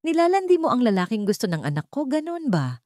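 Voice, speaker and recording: A woman's voice: high voice, very animated, fast talker, no room sound, clean studio sound, voice pushed up. A woman voicing a scheming, domineering stepmother in a dramatic audio storybook, with a scolding, insistent manner. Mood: angry, threatening. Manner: scolding, accusatory, sharp-tongued. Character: scheming, domineering stepmother with false sweetness and venom.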